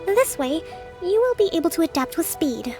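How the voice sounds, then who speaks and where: Gentle young game strategist, high-pitched, composed, articulate, formal, soft background music. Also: female voice, sing-song, moderate pace, exaggerated voice. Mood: composed, caring. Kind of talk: video game voice acting. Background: faint game background music.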